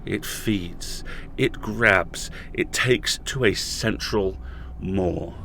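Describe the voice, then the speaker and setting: A man's voice: sits low, drawn-out words, gravelly, background noise, exaggerated voice. A man playing an imprisoned podcast host in an audio drama, intense and raging, pleading with his colleagues. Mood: grim. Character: imprisoned male podcast host, furious and desperate.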